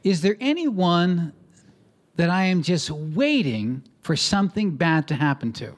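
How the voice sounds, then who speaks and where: A man's voice: high-pitched, a little slow. A man from the New area, preaching a sermon in short phrases for an interpreter and acting out conversations as he tells stories. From New York area. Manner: lively storytelling, acting out conversations. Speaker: guest preacher.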